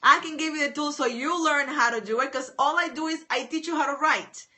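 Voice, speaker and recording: Woman, writing coach, live-stream host, bright higher voice, bubbly and repetitive. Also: fairly quick. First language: English. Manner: enthusiastic, repetitive, reads out viewer names. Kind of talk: live stream chatting with viewers.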